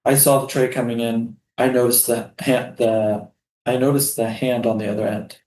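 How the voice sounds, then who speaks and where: A man's voice: medium pitch, flat delivery, normal speed, darkish tone, slight background noise, natural voice. Echoey weary male prisoner read by an actor. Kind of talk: staged play reading. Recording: roomy, echoing stage sound.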